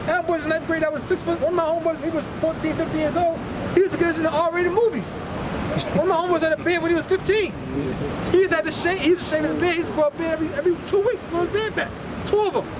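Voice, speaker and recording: A man's voice: extremely high pitch, steady tone, racing, a loud crowd, low-quality recording. A man preaching on a city street, blunt and profane, lecturing the people around him. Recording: loud street and traffic noise. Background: traffic noise.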